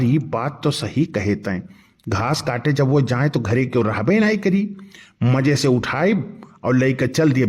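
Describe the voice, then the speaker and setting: A man's voice: mid-range voice, a little mellow, husky. A man voicing a blunt, grumbling village uncle with an Awadhi accent in a cartoon comedy, nagging as he talks. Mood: skeptical, conniving.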